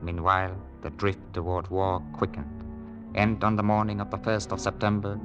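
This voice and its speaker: An actor playing a tense English gentleman, formal and dramatic, in a 1940s radio drama. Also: on the low side, lightly acted. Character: tense English gentleman narrating his secret double life. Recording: old 1940s radio broadcast with music.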